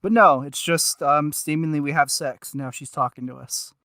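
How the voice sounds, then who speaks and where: Bright-voiced man hosting a casual podcast. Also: fairly high pitch, normal speed, no room sound, clean studio sound. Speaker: podcast co-host.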